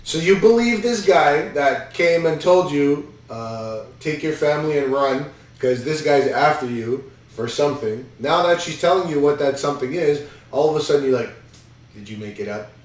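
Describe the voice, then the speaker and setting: A man's voice: on the high side, lively intonation, moderate pace. Rambling man in an echoey room. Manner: rambling, incredulous. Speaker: podcast host reviewing a movie. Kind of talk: casual movie commentary. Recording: echoey room, distant mic.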